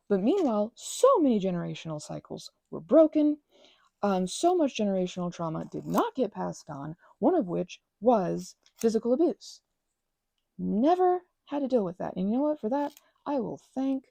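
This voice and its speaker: A woman's voice: a little high, gravelly, no echo. A young woman chatting casually while she crafts, rambling with sarcastic asides, recorded close on a microphone. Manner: rambling, animated, with mocking put-on voices and sarcasm. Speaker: ASMR channel host.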